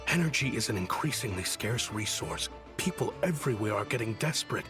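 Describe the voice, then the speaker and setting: An actor playing a smooth, contrite town liaison in a video game, with a pleading, theatrical manner. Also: male voice, fairly low pitch, big pitch swings, a little fast, music in the background.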